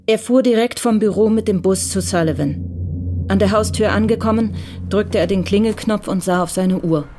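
A woman's voice: mid-range voice, normal speed, over music. A woman reading scripted literary narration as the narrator of a radio crime drama.

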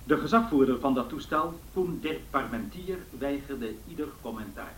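An actor playing a solemn 1930s radio newsreader in a radio drama, announcing in a measured, formal way. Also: male voice, mid-range voice, animated, a bit bright, a little hiss or hum, natural voice, poor audio.

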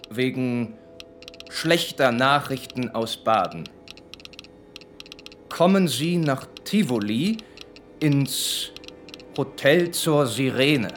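A proud disgraced professor in a radio drama, elusive and quietly defiant. He talks slowly and deliberately at a middle pitch, with faint noise behind him. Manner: measured, deliberate. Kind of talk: German radio drama acting.

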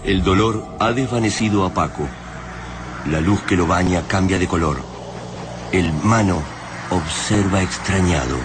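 A man's voice: low-pitched, a little slow, heavy background noise. A man narrating a radio drama in a scripted, dramatic storytelling style. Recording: music behind the voice.

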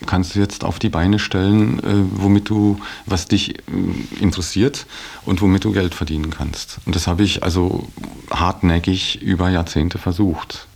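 A man's voice: low voice, fairly even intonation, normal speed, vocal fry, darkish tone. A middle-aged man giving a reflective personal account in a hesitant way, searching for his words. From Belgian-German background. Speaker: interviewee.

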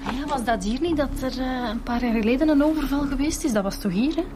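Higher-pitched Flemish actress as a persistent woman detective. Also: fast, noisy background, close to an everyday voice. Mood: casually probing. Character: persistent young female police detective working on hunches. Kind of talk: naturalistic radio drama acting.

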